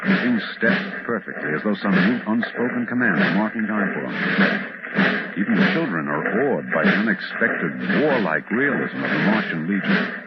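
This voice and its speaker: An actor playing a parade announcer in an old-time radio drama, an American man with a theatrical, breathlessly descriptive delivery. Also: lowish voice. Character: excited male parade announcer turning uneasy. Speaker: on-the-spot radio commentator. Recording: muffled vintage radio, loud crowd and noise. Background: noisy parade crowd.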